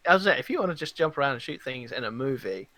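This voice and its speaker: Bright-voiced man on a dry podcast mic. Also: mid-range voice, lively intonation, fast talker, no room sound. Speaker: podcast co-host. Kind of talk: casual film and game chat.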